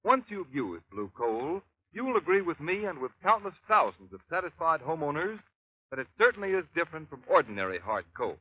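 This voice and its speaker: A hissy, narrow old broadcast carries a male announcer. His fairly high voice moves with lively emphasis in the selling style of 1930s radio. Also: a little fast. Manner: emphatic sales delivery.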